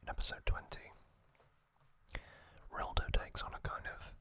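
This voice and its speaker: A man whispering an ASMR trivia recap as host, hushed and unhurried, with hesitant pauses between short phrases.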